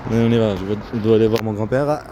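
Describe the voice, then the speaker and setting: Man rambling casually over loud outdoor noise. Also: fairly low pitch. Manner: halting, repetitive, self-interrupting. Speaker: vlogger. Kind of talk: casual rambling vlog commentary. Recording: noisy outdoor recording with vehicle sounds.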